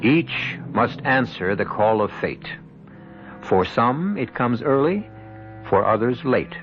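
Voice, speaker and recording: A man playing the solemn host of a radio mystery play, narrating in a deliberate, portentous way. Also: medium pitch, animated. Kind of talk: dramatic scripted radio narration. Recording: dull old radio broadcast, music behind.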